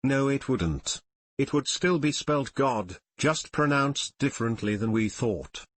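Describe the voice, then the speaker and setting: A man's voice: medium pitch, animated, a little slow, dry recording. Man in a mid-range voice reading comments out plainly, dry close mic. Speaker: comment reader. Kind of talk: reading forum comments aloud.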